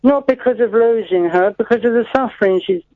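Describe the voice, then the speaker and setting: A man calling a radio show, talking haltingly and anxiously, full of ums and restarts. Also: high voice, dry recording. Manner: halting, hesitant, worried. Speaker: caller. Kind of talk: phone-in call. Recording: tinny phone line.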